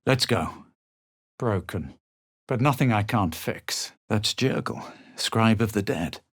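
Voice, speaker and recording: Casting: a superior, theatrical adventurer in a fantasy game; husky, very expressive pitch, dramatic and self-assured. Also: male voice, no echo, clean studio sound, full character voice. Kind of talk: video game voice lines, narration and spell calls.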